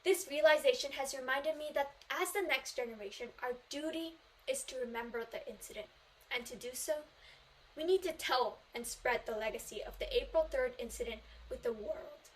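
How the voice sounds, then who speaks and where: A woman's voice: high voice, normal speed, slight background noise, rough recording. A Korean teenage girl, a native Korean speaker, delivering an earnest memorized speech in English at a student contest, stressing key phrases. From South Korea. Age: teens.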